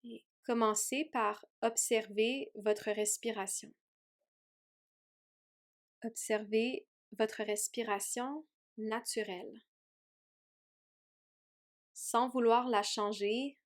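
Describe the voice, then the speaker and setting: A woman yoga teacher leading a guided meditation in a gentle, soothing way with long pauses. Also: a little high, steady tone. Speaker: yoga teacher guiding a relaxation.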